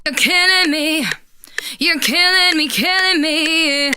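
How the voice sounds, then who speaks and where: A woman with an extremely high, piercingly bright voice delivers short pop vocal hooks. Her phrasing is slow and drawn out, like a vocal sample. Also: fairly even intonation, no echo.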